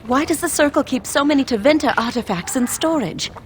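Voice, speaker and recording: A woman's voice: high voice, animated, a little fast, slight background noise. A woman playing a serious, determined young woman in a fantasy game, speaking purposefully. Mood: serious, urgent.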